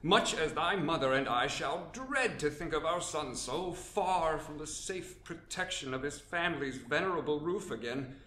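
A man's voice: on the high side, lively intonation, moderate pace, hardly any pauses, pushed and bright, roomy sound. An actor playing a formal, pompous aristocratic father who speaks in archaic phrasing. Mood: musing. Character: pompous old baron father, grandly fond. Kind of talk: amateur radio drama acting.

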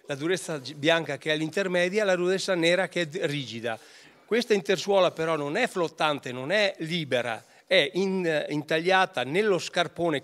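A man's voice: a little high, fairly bright tone. An older man representing a ski brand explains boot features at an indoor booth, hesitating with many fillers and restarts into a handheld mic. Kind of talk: technical product explanation. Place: indoor ski test booth, wooden backdrop. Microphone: handheld interviewer mic.

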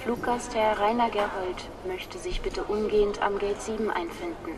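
Airport announcer played by a woman: medium pitch, formal, distant over crowd bustle, radio drama. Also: lightly acted. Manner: formal, measured.

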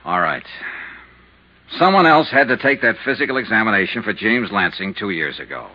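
Blunt, husky hard-boiled investigator. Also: male voice, on the low side, very animated, normal speed. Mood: stern, accusing. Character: tough, persistent male insurance investigator narrating his case. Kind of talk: 1950s radio drama acting and narration. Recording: muffled vintage 1950s radio recording.

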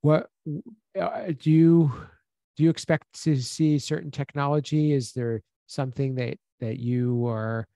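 A man in his forties co-hosting a casual podcast over a video call, asking long, rambling questions full of restarts, close on a boom podcast mic. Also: steady tone, measured pace, vocal fry, warm tone, no echo. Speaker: podcast co-host. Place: home office on a video call. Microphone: boom podcast mic close to mouth.

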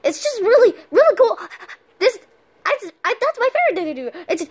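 A goofy young boy puppy, high and bouncy, who stammers and rambles in nervous bursts, as a woman puts him on. His voice leaps all over the place in a homemade cartoon play. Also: sing-song, slow pace, heavily acted. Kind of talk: fan-made cartoon voice play.

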